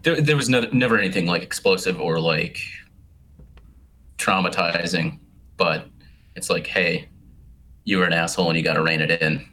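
A man with a very deep but bright, forward voice speaks over a phone call. He answers reflectively and hesitantly, restarting his phrases as he thinks. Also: normal speed, pauses often, slight background noise.

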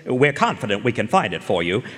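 An actor playing a rumpled, wry private eye who delivers deadpan hardboiled lines in a noir radio drama. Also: male voice, medium pitch, a little fast. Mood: polite. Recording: live in echoey concert hall.

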